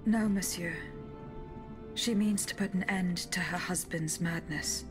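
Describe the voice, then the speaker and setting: A woman's voice: on the low side, unhurried, lightly acted. An actress playing a courteous, unflinching female automaton bodyguard in a video game, formal and composed. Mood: composed, challenging. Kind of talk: video game cutscene acting. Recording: game music behind the voice.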